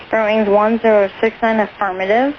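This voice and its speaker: A woman working as an air traffic controller over aviation radio, giving clipped clearances in a halting, repetitive way. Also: mid-range voice, level tone, dull audio.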